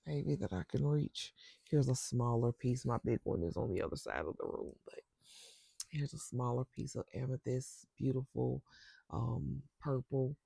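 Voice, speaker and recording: Woman, stuffy nose, husky, dry close sound, slow soft guided ceremony, drifting into whispers. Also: very deep voice, dry recording. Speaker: spiritual circle facilitator. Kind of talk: guided intention ceremony with breathwork.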